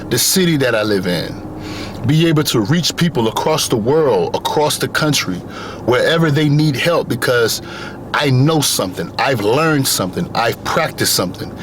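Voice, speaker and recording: Earnest young man with a lively voice. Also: medium pitch, a little hiss or hum. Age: young adult. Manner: earnest, repetitive emphatic phrasing. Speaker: vlogger. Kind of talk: motivational monologue.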